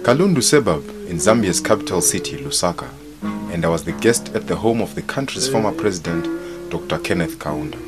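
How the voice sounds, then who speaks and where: A man from Zimbabwe, a journalist, narrating a documentary in a measured, formal way. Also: sits low, big pitch swings, loud music behind the voice.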